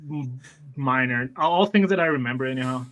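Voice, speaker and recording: A man's voice: medium pitch, brisk, fairly bright tone. A man chatting casually as a player during a livestreamed tabletop game.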